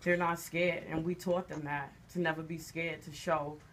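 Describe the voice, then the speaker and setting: A woman's voice: deep voice, flat delivery, normal speed, slight background noise. A woman who directs a dance studio, speaking earnestly and proudly as a guest in a radio studio.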